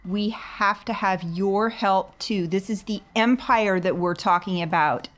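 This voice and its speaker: Woman as bossy rebel medic, commanding, urgent, slow delivery, tabletop roleplay. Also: mid-range voice, close to an everyday voice. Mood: urgent, persuasive.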